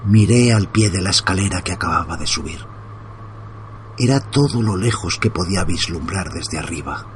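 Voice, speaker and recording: Deep-voiced man from reading a horror story. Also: expressive, moderate pace, slight background noise. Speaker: narrator.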